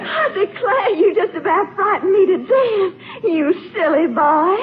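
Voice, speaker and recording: Old tinny radio comedy: coy Southern belle, high, swooping, gushing, drawn-out drawl. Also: female voice, animated, over a noisy crowd. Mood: coy, flattered. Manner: breathless gushing, drawn-out words. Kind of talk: 1940s radio comedy acting. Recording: tinny 1940s radio recording with hiss.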